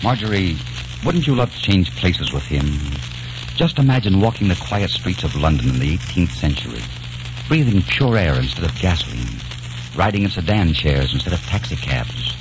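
A man's voice: low-pitched, big pitch swings, normal speed, noisy background. An actor playing a young American man obsessed with the past, in an old radio drama, narrating earnestly. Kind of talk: 1940s radio drama acting. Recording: dull old radio recording.

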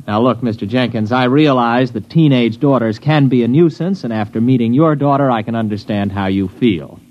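A man's voice: medium pitch, moderate pace, natural voice. An actor playing a polite, easily exasperated American city man who stammers his protests, in old radio comedy style. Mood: polite, placating. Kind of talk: 1940s-50s radio comedy acting. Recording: dull old radio recording.

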